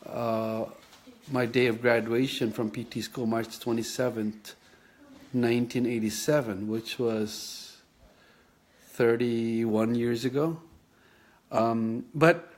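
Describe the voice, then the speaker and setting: A Filipino man in his fifties recalls his past at an unhurried, slow pace. His voice sits at a middle pitch. He pauses often, restarts his sentences and fills the gaps with "um" and "uh" as he thinks back. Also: fairly even intonation, darkish tone. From Philippines. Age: 50s. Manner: halting, reflective, many fillers and restarts. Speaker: patient telling his story. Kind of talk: personal storytelling.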